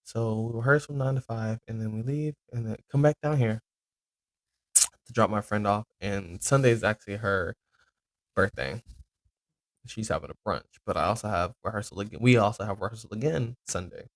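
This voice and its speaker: A man's voice: fairly low pitch, normal speed, no room sound. Young man rambling on his podcast. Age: 20s. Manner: rambling, loose, with many ums and self-corrections. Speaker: podcast host. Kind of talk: casual rambling monologue.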